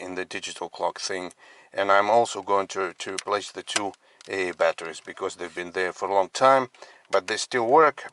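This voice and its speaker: A man giving a casual product demonstration as a collector, speaking in halting, piecemeal phrases. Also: sits low, bright, forceful tone, no room sound. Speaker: radio collector presenting his collection. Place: inside a parked car.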